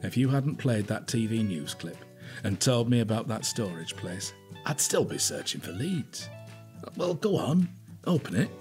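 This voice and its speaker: An older British man acting a shrewd, blunt, gruffly affectionate detective inspector and husband in a naturalistic radio drama. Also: on the low side, sing-song, measured pace, darkish tone, faint music behind. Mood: gruffly fond. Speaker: actor in an audio drama.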